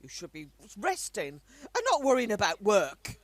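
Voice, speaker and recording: A woman's voice: mid-range voice, wildly swooping pitch, normal speed. A woman playing an older, scolding and anxious wartime wife in an amateur radio play. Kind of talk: amateur radio drama acting.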